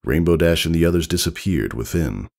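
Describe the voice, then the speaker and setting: A man reading fiction aloud as an expressive scripted story narration. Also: extremely low pitch, brisk. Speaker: fan fiction reader.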